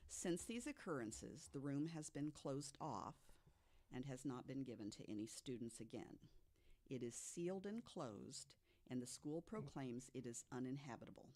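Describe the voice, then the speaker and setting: A podcast co-host telling stories from notes to the others in a deliberate way, with emphatic asides. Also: medium pitch, expressive, a little mellow. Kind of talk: storytelling from notes to co-hosts.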